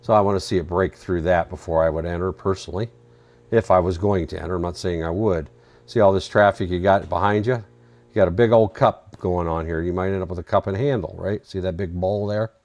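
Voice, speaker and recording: A man's voice: low-pitched, fairly even intonation, a little fast, darkish tone, dry recording. A man hosting a live trading stream, chatting casually and answering viewer questions while he reads charts, his voice getting worn out. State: losing his voice. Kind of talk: casual live market commentary.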